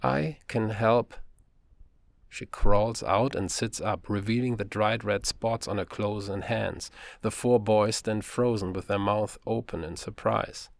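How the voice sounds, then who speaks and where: Low gravelly male audiobook narrator. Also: no room sound. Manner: calm, measured reading.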